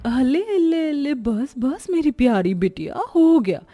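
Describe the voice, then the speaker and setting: A woman's voice: high voice, big pitch swings, unhurried, dark tone, exaggerated voice. A woman voicing a vain, spiteful mother in a dramatic, haughty way for a moral story cartoon. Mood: doting. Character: vain, spiteful mother, scornful to one daughter, doting on another. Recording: music behind the voice.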